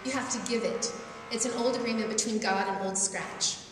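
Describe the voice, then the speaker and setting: A woman's voice: fairly high pitch, steady tone, a little fast, music in the background, natural voice. A woman reading the lines of a stern old grandmother with a thick accent, giving a solemn warning, in an echoey hall away from the mic. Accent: thick foreign accent.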